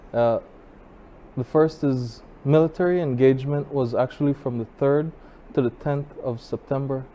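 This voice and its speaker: A man giving an informal history talk as a guest speaker, reading from notes in a measured, halting way. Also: mid-range voice, dark tone. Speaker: guest speaker, college assistant registrar. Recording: clear background noise.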